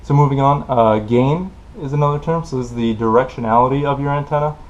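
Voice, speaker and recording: A man's voice: mid-range voice, fast. A man giving an informal technical explainer in a plain-spoken, loosely improvised way, as a tutorial presenter. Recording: dull, lo-fi voice recording.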